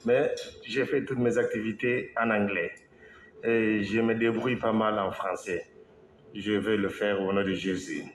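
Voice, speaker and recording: A man from Congo, an engineer, giving a slow, halting talk to an audience, with pauses and restarts. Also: lowish voice, steady tone. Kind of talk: speech to an audience. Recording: echoey hall, faint background noise.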